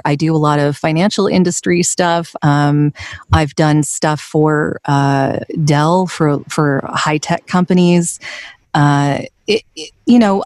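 Smooth-voiced woman voiceover artist, dry sound. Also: fairly low pitch, darkish tone, no room sound. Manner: laughs often, light and self-deprecating. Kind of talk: conversational interview.

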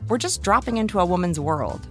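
A woman's voice: on the low side, expressive, fairly quick, a bit bright, faint music behind. A woman narrating a podcast trailer in a wry promotional style.

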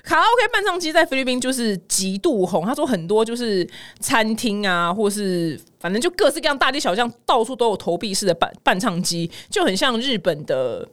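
A woman's voice: on the high side, very animated, fairly quick, a bit bright. A middle-aged woman with a Taiwanese Mandarin accent hosts casual news commentary with personal anecdotes, chatty, colloquial and exclamatory. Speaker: podcast host.

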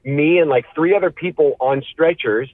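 A man telling a casual, animated comic story in a halting, rambling way, acting out bits of dialogue. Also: a little high, no echo, narrow, tinny audio.

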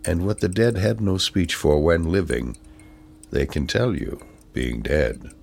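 Very deep, gravelly man reciting poetry. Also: animated, darkish tone. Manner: measured, deliberate phrasing with weighty pauses. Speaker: poetry reader.

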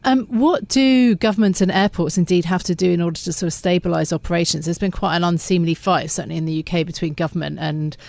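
A woman's voice: on the low side, quick pace, vocal fry, hardly any pauses, darkish tone. A woman questioning a guest as a business news anchor, direct and to the point.

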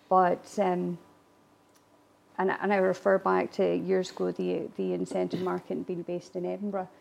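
Woman, Gaelic-first speaker, talkative interview guest with a gravelly edge. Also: lowish voice. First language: Irish Gaelic. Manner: chatty, warm laughs, frequent fillers and restarts. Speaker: guest speaker, distillery attraction manager. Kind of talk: conversational interview answers.

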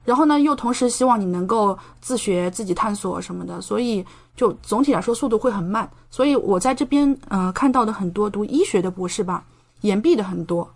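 Young woman from china, podcast guest, grad student chatting and explaining stuff, medium pitch. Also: animated, brisk. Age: young adult. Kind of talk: conversational interview answers, explanatory.

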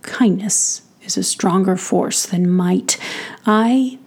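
A woman voicing a big, kindly but formidable male giant king in a storybook, with slow, courtly, musing speech. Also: medium pitch, a little mellow, breathy edge. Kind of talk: bedtime storybook character voice.

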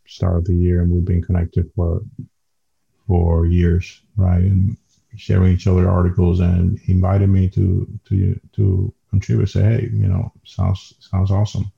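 A man with a Dominican Spanish accent talks casually as an interview guest. His voice is low and creaky with little swing in pitch, and he often restarts his phrases. The recording is a bit dull and lo-fi. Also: monotone, unhurried. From Dominican Republic. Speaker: podcast guest, engineer.

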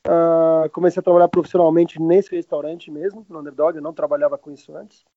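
A man in his late thirties from Argentina with a Brazilian accent, chatting casually and haltingly as an interview guest, often backtracking mid-sentence. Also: a little high, little pitch movement, no echo. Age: late 30s. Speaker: podcast guest, restaurant owner. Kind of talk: casual chat. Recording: roomless dry, slightly dull lo-fi sound.

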